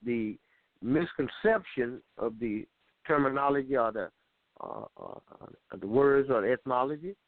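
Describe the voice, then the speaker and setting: A host chiming in with short replies and brief comments, heard over a phone line. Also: male voice, mid-range voice, very animated, moderate pace, dry recording. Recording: tinny phone line, dry.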